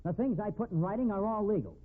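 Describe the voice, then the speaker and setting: An actor playing a defiant, scornful blackmailer who blusters through a 1950s radio drama. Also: male voice, sits high, lively intonation, fast talker. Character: defiant, sneering male blackmailer, slippery crook. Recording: narrow phone-like old radio recording.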